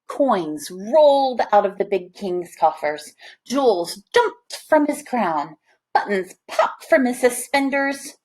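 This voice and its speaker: Slow-paced woman reading a storybook. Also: a little high, rough recording. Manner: expressive, addressing young listeners. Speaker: storybook reader. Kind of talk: animated read-aloud of a children's picture book with chatty asides.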